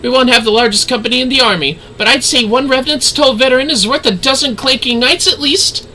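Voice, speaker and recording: A man's voice: fast talker, bright, forceful tone, slight background noise, rough recording. A man voicing a cheerful, chatty small female guild receptionist from a video game, with perky delivery. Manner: perky, high, swooping delivery.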